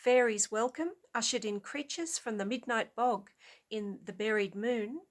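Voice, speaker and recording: A woman's voice: on the high side, unhurried. A woman musician giving a prepared conference talk in a conversational way, explaining her work. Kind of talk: prepared presentation.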